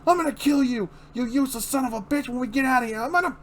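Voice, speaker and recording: A man's voice: very high voice, very fast, slight background noise, pitched above the performer's own voice. A man voicing an impatient, hot-tempered crook who bullies his accomplice in a brusque, threatening way for a comic-book reading. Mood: furious.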